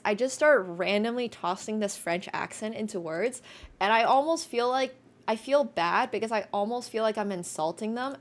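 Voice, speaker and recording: A woman's voice: medium pitch, fairly bright tone. A young woman from Finland with a light American accent, Mandarin her first language, chatting casually with her stream viewers in a slightly nasal voice. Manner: chatty, quick asides, laughs often. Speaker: live streamer.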